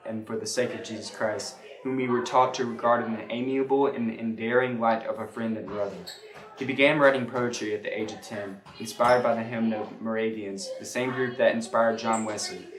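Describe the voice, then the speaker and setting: Man reading a devotional in an echoey room. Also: fairly low pitch. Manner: halting reader, stumbles and corrects words. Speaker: church member giving a devotional reading. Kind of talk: scripted devotional reading on hymn history. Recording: roomy, echoing sound.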